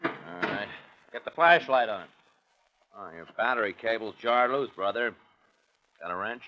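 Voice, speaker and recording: An actor playing a folksy, laconic highway patrolman who casually probes, in 1940s radio drama style. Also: lively intonation, unhurried. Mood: casual, probing. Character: folksy, casual male highway patrol cop, quietly probing. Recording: muffled, noisy 1940s radio recording.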